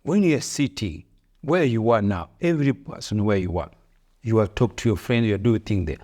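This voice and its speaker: Earnest Rwandan father, preachy and low-pitched. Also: lively intonation, a little fast, dark tone. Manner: earnest, preachy, repeats phrases, broken English. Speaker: podcast co-host, father. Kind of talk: casual motivational chat.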